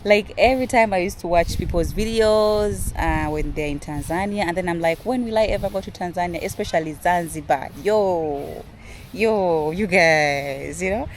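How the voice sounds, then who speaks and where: A young Ugandan woman with a Ugandan accent, chatting excitedly to her viewers in a casual outdoor vlog from a garden. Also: on the low side, normal speed, a little hiss or hum. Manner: excitable, chatty, addresses viewers as "you guys". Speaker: vlogger. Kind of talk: casual vlog talk to camera.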